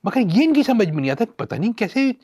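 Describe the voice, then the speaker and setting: A Pakistani man with a somewhat high, highly animated voice holds forth as a film commentator. He talks conversationally but with emphasis, throwing out rhetorical questions. A sarcastic edge runs through his opinions. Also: fast, dull audio. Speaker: podcast guest, film critic.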